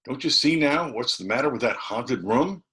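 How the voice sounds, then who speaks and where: A man voicing a frightened, excited adventurous small-town boy in a storybook, talking in a breathless, folksy rustic way. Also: mid-range voice, moderate pace, voice pushed up. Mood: animated. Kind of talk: dramatised storybook reading.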